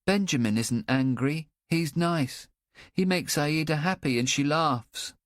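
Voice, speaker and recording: A man voicing an anxious, simple-minded young boy in an audiobook, talking in a halting, earnest way. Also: on the high side, no room sound, studio quality, voice pushed up, close to an everyday voice. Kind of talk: audiobook character voice.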